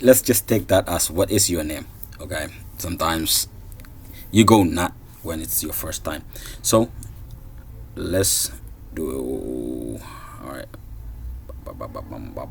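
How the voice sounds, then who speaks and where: Man, thirties, from somalia, unhurried, relaxed beginner coding lesson, lots of uh and restarts. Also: a bass voice, gravelly, a little hiss or hum. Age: 30s. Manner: casual, thinking aloud, many fillers and restarts. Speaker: programming tutor. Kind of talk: screen-recorded coding tutorial.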